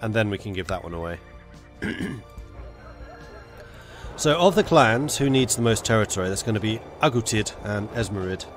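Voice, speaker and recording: A lower-voiced man streams a strategy game, his voice stuffy and congested from a cold. He chats with viewers and mutters his plans half to himself. Soft music plays faintly behind him. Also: lively intonation, moderate pace, long pauses. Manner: chatty, muttering to himself while planning. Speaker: gaming streamer. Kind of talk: casual live gameplay commentary.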